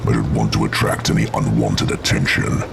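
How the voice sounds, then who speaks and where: A man voicing a cruel, proud orc warlock commander in a gravelly, menacing growl over music in a fantasy game. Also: very deep voice, monotone, brisk, heavy background noise, full character voice. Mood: proud, confident. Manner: menacing, domineering. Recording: loud music under the voice. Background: loud dramatic music.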